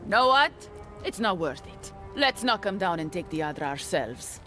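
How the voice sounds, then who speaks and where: A man's voice: sits high, very animated, moderate pace, bright, forceful tone, faint music behind, heavily acted. A man playing a shifty, greedy video game trader, haggling slyly with an edge of menace. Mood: impatient, threatening. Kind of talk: video game character acting.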